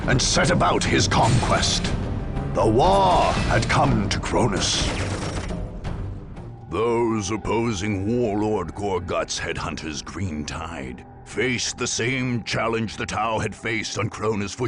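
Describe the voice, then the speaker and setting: A man delivering dramatic scripted game narration in a grave, gravelly storytelling manner. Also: medium pitch. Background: background music.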